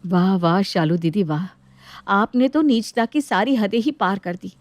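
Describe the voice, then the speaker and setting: A woman's voice: mid-range voice, unhurried, a little mellow, no room sound, close to an everyday voice. A woman voicing an indignant daughter-in-law in a moral story, sarcastic and reproachful. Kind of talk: dramatic moral-story acting.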